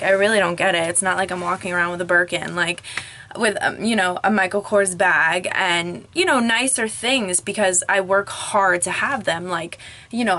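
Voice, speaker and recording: A young woman vlogger, slightly hoarse from losing her voice, ranting casually to a camera propped up in her closet, full of "like" and "um". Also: mid-range voice, bright, forceful tone, slight background noise. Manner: chatty, frequent "like" and "um", rising frustration. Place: small closet at home, clothes all around. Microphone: camera propped up on a crib.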